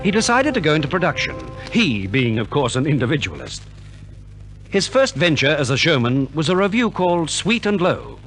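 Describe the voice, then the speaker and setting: A man's voice: fairly high pitch, very animated, normal speed, fairly bright tone, faint music behind, rough recording. A man reading scripted narration for a 1950s radio programme, on a vintage recording.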